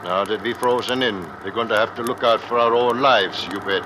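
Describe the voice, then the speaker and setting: A man's voice: lowish voice, brisk, close to an everyday voice. Actor as cautious old-radio Arctic seaman. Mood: resigned, worried. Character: cautious, plain-spoken experienced Arctic hand Mister Henson. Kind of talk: 1950s radio drama acting. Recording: noisy old radio recording.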